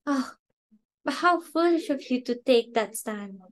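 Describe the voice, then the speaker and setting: A woman's voice: sits high, lively intonation, unhurried. A woman playing a flustered, snobbish society hostess and mother in an amateur dramatic reading, exclamatory and imperious. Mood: insistent, coaxing.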